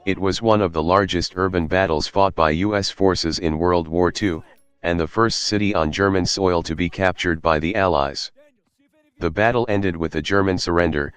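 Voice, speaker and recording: A man's voice: low-pitched, clean tone, no echo. A man reading formal, scripted history documentary narration.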